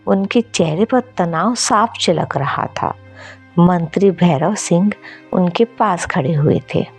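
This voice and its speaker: A woman's voice: lowish voice, takes it slowly, husky, faint music behind. A woman reading scripted narration for a story as its narrator.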